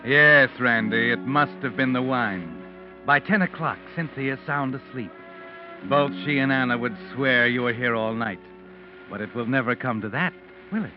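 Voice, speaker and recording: An actor playing a knowing, taunting narrator in an old-time radio mystery, speaking deliberately. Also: male voice, medium pitch, lightly acted. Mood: knowing, ominous. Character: sardonic omniscient narrator addressing the murderer. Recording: muffled old radio recording with music.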